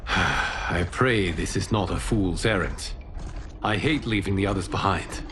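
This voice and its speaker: A man's voice: deep voice, measured pace, background noise. A man playing a noble, thoughtful protector god in a video game, with a formal, earnest, stately way of speaking. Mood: pensive, troubled. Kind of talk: video game cutscene acting.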